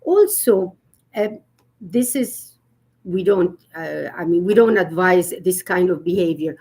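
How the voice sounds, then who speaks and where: A woman's voice: on the low side, lively intonation, a little slow, dark tone, breathy edge. A woman speaking as an etiquette expert in a live-stream conversation, explaining earnestly with frequent fillers.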